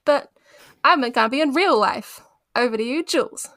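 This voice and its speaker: A New woman with a high voice, recorded dry and close. She speaks as a podcast player in an easy, casual, unscripted style. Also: lively intonation, moderate pace, fairly bright tone, dry recording. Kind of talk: casual chat.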